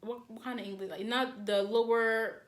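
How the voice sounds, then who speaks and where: A woman's voice: fairly high pitch, steady tone, normal speed. A young woman from Nigeria co-hosting a podcast, talking in casual chat and stressing her points by repeating them. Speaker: podcast co-host.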